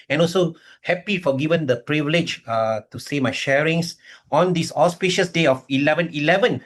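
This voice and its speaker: A man from Malaysia, a community leader, giving excited congratulatory remarks at a launch event with fillers and restarts. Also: on the high side. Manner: enthusiastic, repeats himself with fillers.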